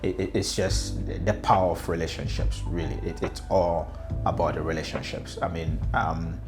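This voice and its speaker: The kind of low-voiced Ghanaian man you'd hear on a personal-story podcast, feeling for his words over a music bed. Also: fairly even intonation. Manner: hesitant, halting with repeated words. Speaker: speaker telling own life story, customer experience consultant.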